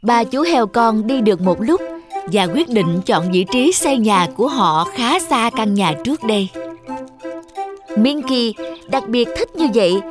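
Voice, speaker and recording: Higher-pitched woman storyteller over music. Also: animated, measured pace. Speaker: narrator. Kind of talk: scripted children's storytelling. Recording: children's music behind narration. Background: jingly children's music.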